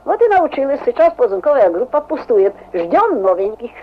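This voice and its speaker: A woman in her seventies from Hungary, with a Hungarian accent, telling lively stories in a halting, laughing way in a radio interview. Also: high-pitched. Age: 70s. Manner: lively storytelling, halting speech, laughs often. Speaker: interviewee, amateur skater. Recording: tinny phone-like old radio recording.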